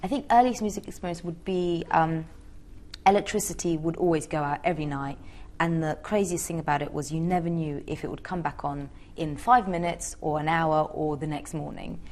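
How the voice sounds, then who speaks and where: A woman's voice: low-pitched, fairly even intonation, a little fast, a little mellow. A woman in her thirties from Georgia, answering interview questions in a reflective, chatty way in a wood-panelled room. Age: 30s. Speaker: interviewee, singer.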